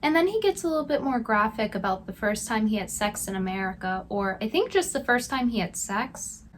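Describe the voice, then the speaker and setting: A woman's voice: on the high side, animated, brisk, a little hiss or hum. A woman giving scripted commentary with sarcastic, mocking asides. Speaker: video commentator.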